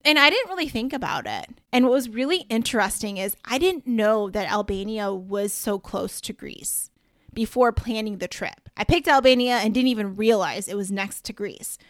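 Casting: upbeat woman podcaster, a bit high, rambling and chatty. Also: normal speed, dry recording, studio quality. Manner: chatty, upbeat, full of asides and slang. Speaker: podcast host. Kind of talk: casual solo podcast talk.

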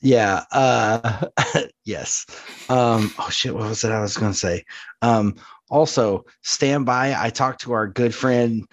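A man co-hosting a casual comedy podcast, self-deprecating, speaking in halting asides. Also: medium pitch, no echo. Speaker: podcast co-host.

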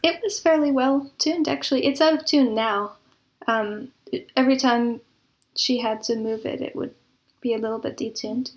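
A woman with a fairly high voice talks as a guest in a casual interview. She reminisces in a thoughtful, measured way, pausing as she puts her answers together. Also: muffled recording. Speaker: interview guest, composer and audio drama producer.

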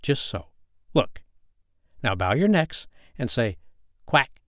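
A fussy, worn-out mother duck, as a man puts her on for a storybook, fretful and motherly. The voice climbs high and swings widely. It is close and dry, with no room sound. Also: big pitch swings, slow pace, dry recording. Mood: proud.

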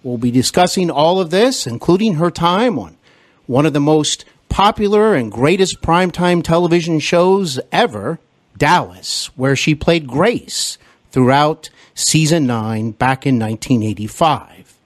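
Dry, slightly high man hosting a podcast. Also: animated, a little slow, no echo. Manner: formal, welcoming introductions. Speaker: podcast host. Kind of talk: podcast interview.

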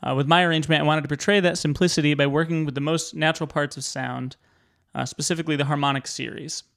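Smooth-voiced man, measured with fillers. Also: fairly high pitch, fairly even intonation, a bit bright. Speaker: guest musician and music teacher. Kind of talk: prepared guest introduction.

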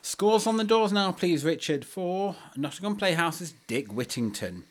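A man's voice: sits high, animated, a little slow. A man co-hosting a conversational theatre review podcast with a theatrical, presenter-like delivery.

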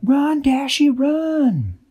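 A man's voice: extremely high pitch, sing-song, very slow, mellow, pitched above the performer's own voice, full character voice. A man voicing Tweak, a caring little flying girl who protects her friends, urgent and protective.

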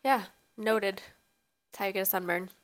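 A woman's voice: mid-range voice, fairly even intonation, normal speed. A young woman co-hosting a podcast, chatting casually with a stuffy, sniffly nose from sneezing, laughing often. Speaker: podcast co-host. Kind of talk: casual chat.

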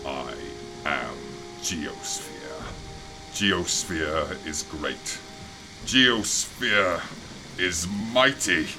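An extremely low-voiced, aged alien monarch, proud and fanatical. He declaims slowly and solemnly, like a doomed ruler in a sci-fi radio play, over loud music. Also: lively intonation, full character voice, rough recording. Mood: defiant, grandiose. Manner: grandiose, slow, solemn declaiming. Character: fanatical old alien king, proud, grandiose, doomed father. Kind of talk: sci-fi audio drama acting.

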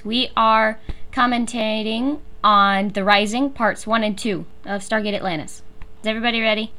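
A woman's voice: on the high side, fairly even intonation, normal speed, pushed and bright. An American woman hosting a fan podcast, chatting in a giggly, enthusiastic way. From USA. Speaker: podcast host. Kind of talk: casual fan commentary chat.

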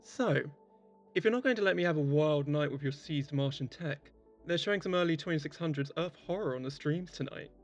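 An actor plays a cheeky colleague aboard a space clinic. The delivery is breezy, joking and teasing, in audio drama style, with a mid-pitched voice over faint music. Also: brisk, dull audio, lightly acted. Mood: friendly, pleading. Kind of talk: sci-fi audio drama acting.

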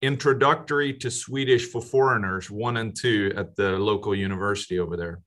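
A man hosting a casual podcast interview, speaking haltingly with frequent restarts and fillers. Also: on the low side, fairly bright tone. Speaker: podcast host.

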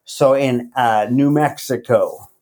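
A middle-aged man raised in Latin America, speaking as a podcast guest in a casual, halting way with many fillers and restarts. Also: medium pitch, darkish tone. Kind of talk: casual interview.

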